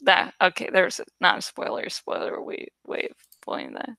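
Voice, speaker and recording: A woman casually rambling as she edits artwork for her channel, thinking out loud, self-deprecating and swearing freely. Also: on the low side, wildly swooping pitch, normal speed, creaky, no echo, clean studio sound. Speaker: video creator and host. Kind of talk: casual rambling commentary while editing artwork.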